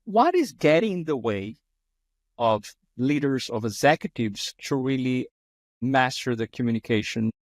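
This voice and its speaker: A man's voice: medium pitch, expressive, measured pace, dry recording. Brazilian-accented man hosting, effusive. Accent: Brazilian Portuguese. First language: Portuguese. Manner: effusive, frequent restarts and repeated words. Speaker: podcast host. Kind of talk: conversational interview.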